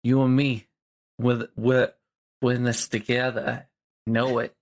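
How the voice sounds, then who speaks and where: A man's voice: medium pitch, little pitch movement, takes it slowly. A man voicing a young adventurer who speaks with awed, giggly devotion to a magic coconut. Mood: devoted.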